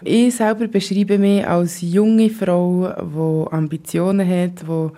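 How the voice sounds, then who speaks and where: A Swiss German woman in her thirties, a singer, gives thoughtful answers with pauses and fillers. Her voice sits a little low and sounds warm and mellow. She is interviewed in a basement rehearsal room. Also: fairly even intonation. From Switzerland. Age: 30s.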